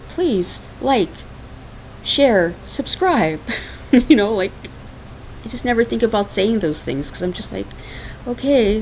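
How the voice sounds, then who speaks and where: A woman's voice: on the low side, rough recording. A woman hosting a spiritual podcast in a casual, chatty way, laughing often and using lots of fillers, recorded on a laptop microphone at home. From Portugal. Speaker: podcast host and minister. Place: dark hot room at home. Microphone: laptop microphone on her lap. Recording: raw home laptop recording with faint hiss.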